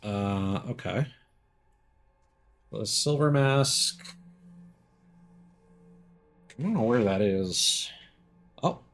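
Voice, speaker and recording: A man's voice: lowish voice, drawn-out words, pauses often. A man giving casual live commentary as he plays a game, with faint game sound behind him. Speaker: game streamer.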